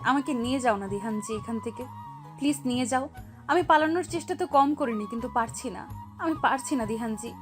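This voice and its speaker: A woman's voice: fairly high pitch, a little fast, music in the background, close to an everyday voice. A woman acting a wronged, emotional young wife. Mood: pleading, sorrowful. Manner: dramatic, emphatic. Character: wronged young wife, defiant yet heartbroken. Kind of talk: acted audio story dialogue.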